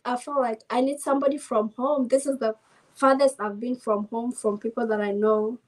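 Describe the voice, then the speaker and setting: Kenyan woman, soft-spoken, young, slightly high voice, casual chat. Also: steady tone, moderate pace, poor audio. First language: Swahili. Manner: soft-spoken, mixes Swahili and English, laughs often. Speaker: interview guest, navy sailor.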